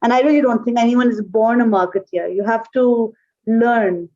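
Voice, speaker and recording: Bright-voiced woman with an Urdu background. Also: fairly high pitch. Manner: thoughtful, with frequent "uh" pauses. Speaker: guest, marketing manager. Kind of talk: conversational interview answers. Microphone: remote call. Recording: dull, lo-fi call audio.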